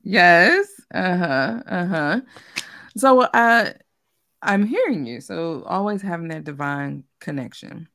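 A woman's voice: lowish voice, expressive, slow, a bit bright. A woman leading a card reading in an intimate, conversational and affirming way. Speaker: card reader leading the session.

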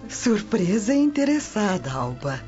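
An actress playing a loyal, devout and resolute Roman matron in a radio drama. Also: female voice, medium pitch, big pitch swings, slow, mellow. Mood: resolute, supportive. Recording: dull lo-fi radio drama audio. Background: music bed.

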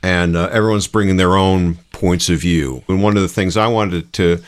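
Low-voiced man, casual podcast co-host. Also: normal speed. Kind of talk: casual conversational explaining.

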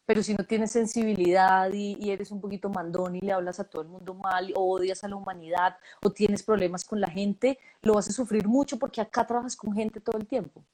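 A woman, a casting director giving relaxed, thoughtful interview answers with many fillers and restarts. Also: medium pitch, fairly quick, few pauses, low-quality recording.

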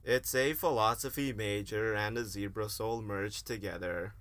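A man's voice: on the low side, steady tone, moderate pace, fairly bright tone, no echo, natural voice. A young man playing a pompous, melodramatic philosophy student in an amateur comedic radio play, mixing grand declamation with slang. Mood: megalomaniac. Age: young adult. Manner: pompous mock-heroic declamation mixed with slang.

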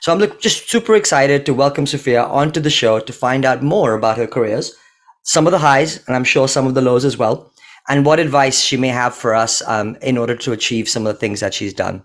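Guy hosting a podcast, bubbly chatty intro vibe, mid pitch, lots of ums. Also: a little fast. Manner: chatty and enthusiastic, with fillers. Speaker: podcast host.

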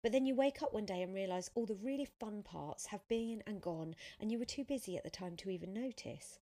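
A woman voicing a famous young man in an audiobook, a cool, teasing and gently world-weary heartthrob. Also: mid-range voice, a little fast, voice pushed up, natural voice. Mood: thoughtful. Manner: cool, quiet, intrigued.

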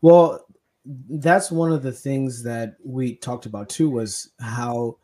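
A man's voice: mid-range voice, steady tone, slow pace, dark tone. A young man from Kenya, a podcast host, talking casually and hesitantly with many restarts and fillers. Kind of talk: casual conversation.

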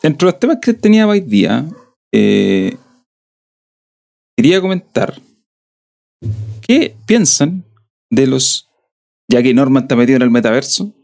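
A man's voice: medium pitch, big pitch swings, a little slow, vocal fry, long pauses, darkish tone. Chatty Chilean man hosting a podcast. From southern Chile. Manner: rambling, chatty storytelling. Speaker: podcast host. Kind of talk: casual podcast chat.

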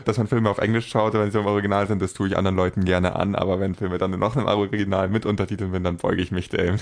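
Low-ish young guy chatting about a movie, keeps correcting himself, emphatic. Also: flat delivery, quick pace, vocal fry. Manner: rambling, self-correcting, emphatic. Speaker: podcast co-host. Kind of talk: casual film review chat.

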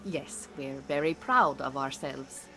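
An actress playing an earnest, proud Iranian refugee woman who mentors beekeepers in an audio drama, speaking in a measured, formal, precise way. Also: a bass voice, gravelly, a bit bright, a little hiss or hum, heavily acted. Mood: polite, guarded. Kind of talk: naturalistic audio drama acting.

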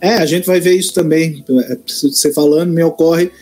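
A man's voice: on the high side, steady tone, normal speed, darkish tone, slightly rough, faint music behind. A man who reviews books, musing aloud with hesitant restarts in a casual conversation. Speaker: book reviewer. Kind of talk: casual conversation about literature.

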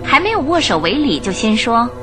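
Expressive woman narrating a radio drama. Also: fairly high pitch, brisk, over music. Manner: expressive, theatrical.

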